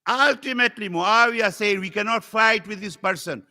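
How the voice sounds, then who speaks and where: A man from Africa, a native Swahili speaker, preaching a religious sermon as a visiting scholar to a congregation, earnest and rising in pitch as he tells stories. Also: moderate pace, pushed and bright.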